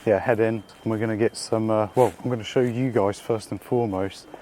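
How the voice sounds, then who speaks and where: A man hosting a casual food vlog while out in the city, his voice croaky from being ill, chatty and upbeat with lots of slang and fillers. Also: fairly low pitch, steady tone, brisk, warm tone, slight background noise. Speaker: food vlogger. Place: out and about in city streets and a small eatery.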